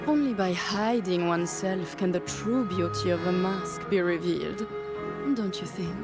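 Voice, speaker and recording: A woman's voice: lowish voice, moderate pace, close to an everyday voice. An actress voicing a theatrical, teasing noblewoman who runs a mask and costume shop, speaking in a playful, flirtatious way. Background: faint game music.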